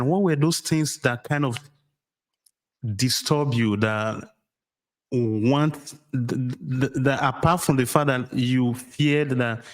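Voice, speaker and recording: A man conducting an interview, speaking in long, formally phrased remarks and questions. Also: mid-range voice. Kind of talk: interview talk.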